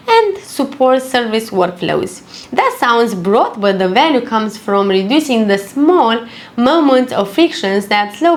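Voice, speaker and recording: A woman narrating a scripted product review in a measured, clearly structured delivery. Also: a little high.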